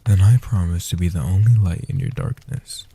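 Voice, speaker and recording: A gentle, age-old vampire guardian acted by a man speaks softly in a comfort audio roleplay. The voice is low, dark and very breathy, often sinking toward a whisper. It soothes and protects with tender reassurance. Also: moderate pace. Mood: soothing, devoted. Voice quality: breathy, husky, half-whispered.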